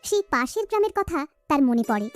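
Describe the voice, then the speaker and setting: A woman's voice: high voice, very animated, fast, faint music behind. A woman narrator reading a scripted children's story.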